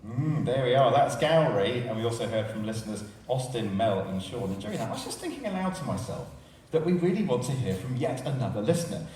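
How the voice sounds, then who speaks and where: A man's voice: medium pitch, very animated, fairly quick, a little mellow. A man hosting a live radio show, chatty and jovial, with a digressive way of talking. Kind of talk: live presenting and interviewing before an audience. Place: large radio theatre with live audience. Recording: very echoey theatre, far from mic, faint noise.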